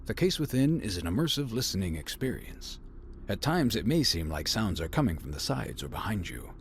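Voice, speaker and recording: A man's voice: on the low side, big pitch swings, a little fast, a little hiss or hum. A man reading scripted announcements for an audio fiction podcast. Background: music.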